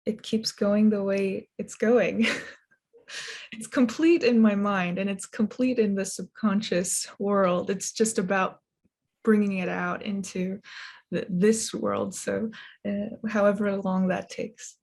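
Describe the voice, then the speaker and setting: Low-key woman with a gravelly voice. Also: fairly even intonation, a little mellow. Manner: thoughtful, measured, with restarts. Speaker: author and translator. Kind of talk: conversational interview answers.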